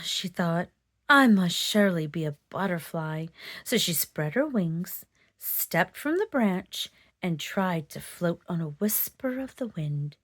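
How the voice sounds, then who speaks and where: Casting: female children's storyteller, very lively intonation, slightly slow delivery, dry close sound. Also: on the low side, dry recording. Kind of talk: expressive children's storybook read-aloud.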